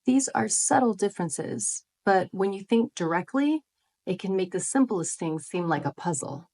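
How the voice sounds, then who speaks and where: A woman's voice: mid-range voice, expressive, normal speed, a little mellow. Friendly woman explaining to camera. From United States. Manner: friendly, explanatory, rhetorical questions. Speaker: vlogger. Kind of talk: scripted talk to camera.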